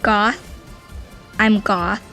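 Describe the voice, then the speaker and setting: A woman's voice: medium pitch, fairly even intonation, drawn-out words, pushed and bright, faint music behind, exaggerated voice. A woman voicing a moody teenage girl who is a goth outsider, talking in a blunt, earnest, defensive way. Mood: sulky. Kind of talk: audio drama acting.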